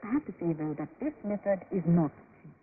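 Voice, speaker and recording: An actress playing a calm, patient female doctor in an educational radio drama, explaining things in a measured way. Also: lowish voice, lightly acted. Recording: noisy shortwave broadcast, tinny band.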